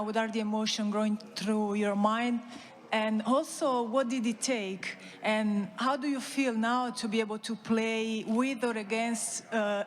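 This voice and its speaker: Italian woman reporter in a reverberant hall. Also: on the high side. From Italy. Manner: formal, stacks several questions. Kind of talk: asking press questions. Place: press conference room. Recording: reverberant hall, faint hum.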